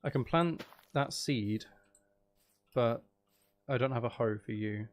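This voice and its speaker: A man with a gravelly edge, chatting casually to viewers as he plays a game, rambling and trailing off as he thinks aloud. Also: medium pitch, slow pace. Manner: rambling, trailing off, muttering to himself. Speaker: streamer and audio creator chatting with viewers. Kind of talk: casual livestream gameplay chat.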